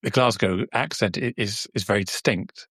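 A man with a slightly low voice hosts a casual interview. He speaks conversationally, feeling his way through sentences in a hesitant, rambling manner. The sound is dry, with very little room in it. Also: normal speed, fairly bright tone, slightly rough, dry recording. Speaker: show host, interviewer.

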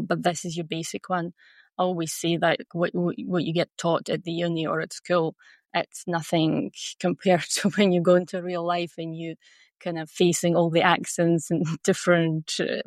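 A woman from Russia with a Glaswegian accent talks casually as an interview guest. Her native language is Russian, and her voice has a noticeable creak. She is chatty, telling personal stories, and the recording is very dry. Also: lowish voice, dry recording. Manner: chatty, laughs often while talking.

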